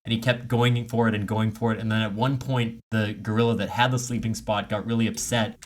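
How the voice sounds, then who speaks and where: A man with a fairly low voice talks casually as a podcast co-host. He tells stories in an animated way, dropping self-mocking asides into loose comedic chat. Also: a little fast.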